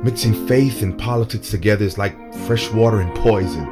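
A man's voice: lowish voice, fairly quick, loud music behind the voice, lightly acted. A man playing a proud, embattled senator in an audio drama, earnest and conversational, defensive and weary. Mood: weary, frustrated.

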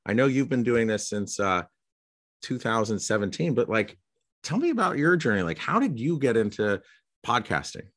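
A man hosting a podcast, asking questions with curious enthusiasm and a halting, filler-heavy way of talking. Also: mid-range voice, very animated, a bit bright. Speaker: podcast host. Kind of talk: casual conversational interview.

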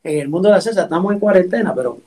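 A man's voice: high-pitched, lively intonation, very fast, mellow. An older man, a guest singer speaking graciously in a casual chat.

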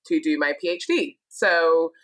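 A woman's voice: deep voice, unhurried, a bit bright, dry recording. A young adult woman, a guest chatting cheerfully and laughing easily on a podcast. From UK, born in Scotland and raised in northern England. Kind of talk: casual podcast conversation.

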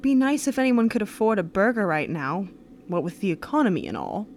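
A woman's voice: mid-range voice, big pitch swings, brisk. Lady playing a friendly folksy 30s lodge hostess on radio, lilting up and down, little bit of noise. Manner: chatty, folksy slang. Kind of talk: 1930s radio drama acting.

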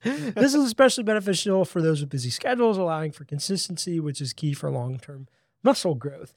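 Fairly high-voiced podcast host, dry sound. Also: male voice, animated, fairly quick, no echo. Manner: works through a list of points, explaining fitness science. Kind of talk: casual podcast chat.

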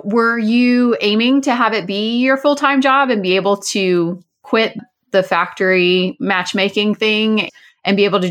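A woman's voice: medium pitch, a bit bright. A woman hosting a podcast, asking a guest questions in a friendly, encouraging way. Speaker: podcast host, interviewer. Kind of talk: casual interview questions.

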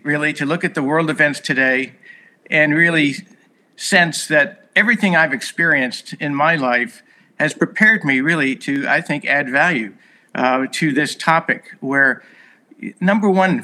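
A man's voice: a little high, sharp tone. A man in his sixties whose first language was Hungarian, a guest telling long stories in a podcast interview with many fillers. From United States. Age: 60s. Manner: earnest, expansive storyteller with many fillers.

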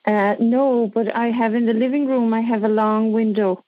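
A woman's voice: fairly high pitch, fairly even intonation, normal speed, no room sound. A woman calling in to a show and chatting casually, heard through dull, lo-fi call audio. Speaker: caller to a radio-style show. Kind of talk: casual conversation.

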